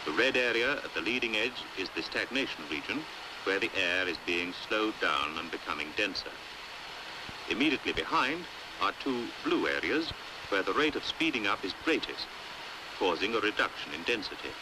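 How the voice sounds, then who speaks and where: A British man narrating a 1950s educational film in a measured, precise, didactic way, on an old hissy soundtrack. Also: on the low side, very animated. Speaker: documentary narrator. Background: faint hiss.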